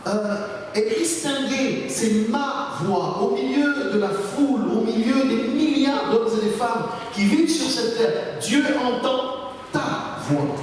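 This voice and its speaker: Male preacher, earnest scripture-led delivery with emphatic repetition; heard from a distance in heavy echo, faint noise. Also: very high voice. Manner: earnest, deliberate, repeats phrases for emphasis. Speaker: pastor preaching. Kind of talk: sermon with scripture readings. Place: large reverberant church hall. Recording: echoey hall, distant mic, faint noise.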